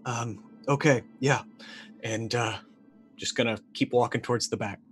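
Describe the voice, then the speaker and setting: A man's voice: medium pitch, fairly even intonation, normal speed, soft background music. Tentative man playing a tabletop game. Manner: tentative, stop-start phrasing. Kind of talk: casual improvised roleplay. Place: indoor room.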